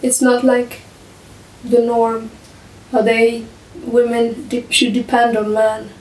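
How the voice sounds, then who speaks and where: A woman whose first language is Hungarian, speaking slowly and thoughtfully in a casual podcast discussion, often searching for her words. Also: fairly high pitch, monotone, a little mellow. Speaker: podcast guest. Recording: echoey room, far from the mic.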